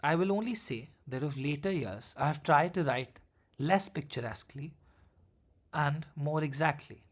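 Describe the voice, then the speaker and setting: A man reading a literary essay aloud in a measured, formal way, with the occasional stumble. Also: fairly high pitch, animated. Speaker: essay reader.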